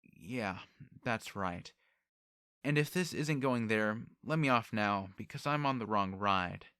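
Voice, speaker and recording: A man's voice: fairly low pitch, animated, slow, sharp tone, smooth, no room sound, studio quality, heavily acted. Man playing an earnest, blunt young commoner. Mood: defiant.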